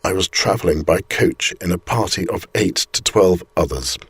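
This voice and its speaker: A man reading a scripted ghost story aloud as a storyteller, with measured, deliberate and theatrical phrasing. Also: low-pitched, breathy edge, no room sound.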